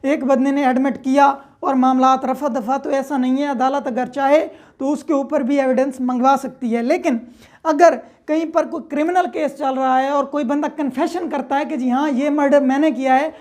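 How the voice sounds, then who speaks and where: High-pitched Pakistani woman giving a lecture. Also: fairly even intonation, moderate pace, a little mellow. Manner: didactic, repeats points for clarity, frequent check-in phrases. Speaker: law lecturer. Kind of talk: informal explanatory lecture with examples.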